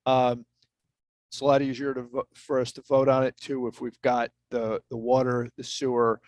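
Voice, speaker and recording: A man chairing a village commission meeting, speaking in a measured, procedural way with frequent fillers. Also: medium pitch, flat delivery, darkish tone, no room sound. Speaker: board chair.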